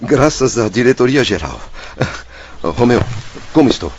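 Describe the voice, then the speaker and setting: A man's voice: medium pitch, big pitch swings, unhurried, dull audio, slight background noise. An actor playing an anguished, impatient and vain male spirit in a radio drama, speaking in an emotional, exclamatory way. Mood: elated, relieved.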